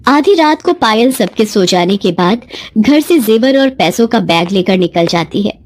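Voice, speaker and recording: A woman's voice: mid-range voice, expressive, unhurried, darkish tone. A woman narrating a scripted moral bedtime story as its storyteller.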